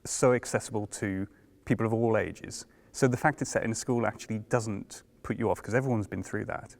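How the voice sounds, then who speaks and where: A man's voice: on the low side, fairly quick, vocal fry, darkish tone. A man in casual conversation as an interviewer, sharing his thoughts with a colleague. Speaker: interviewer and creative collaborator.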